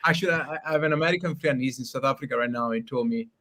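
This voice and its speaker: A man's voice: medium pitch, racing, fairly bright tone. A man from Italy with an Italian accent, chatting as a friendly guest on a trading show. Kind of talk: casual interview chat.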